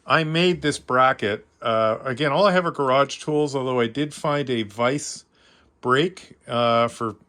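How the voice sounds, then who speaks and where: A Canadian man gives an informal tour as a hobbyist, speaking off the cuff. His voice is mid-pitched and notably bright and forward. He comes across as modest and self-deprecating, explaining things casually. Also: unhurried. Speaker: amateur radio hobbyist giving a tour. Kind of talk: casual unscripted walkthrough.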